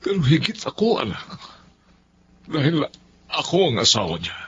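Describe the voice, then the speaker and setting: Gentle Filipino father, muffled recording. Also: fairly high pitch, big pitch swings, normal speed, slightly rough, exaggerated voice. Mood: pleading, urgent. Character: gentle, forgiving father counseling his grown children. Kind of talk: Filipino radio drama acting. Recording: muffled radio drama audio, background noise.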